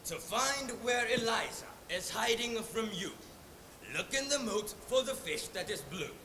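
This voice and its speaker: A teenage boy on stage playing a wicked, menacing wizard in a slow, theatrical readers' theatre performance. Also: extremely high pitch, expressive, echoey room. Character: wicked, thundering male wizard. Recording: noisy, distant stage microphone.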